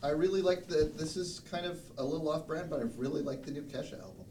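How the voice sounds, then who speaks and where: A man's voice: a little high, steady tone, fast, mellow. A man chatting casually in an informal way, with hesitant starts. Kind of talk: casual chat. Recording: roomy, distant mic, faint background noise.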